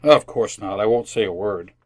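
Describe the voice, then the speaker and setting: A man's voice: on the low side, normal speed, a little mellow, natural voice. An amateur actor playing a flustered, earnest family man in an old-time radio sitcom, hesitant and prone to speechifying. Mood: eager, flustered. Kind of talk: re-created 1950s radio sitcom acting.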